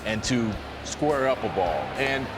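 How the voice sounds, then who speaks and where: A man's voice: mid-range voice, lively intonation, measured pace, music in the background. A man giving conversational baseball analysis as a studio pundit.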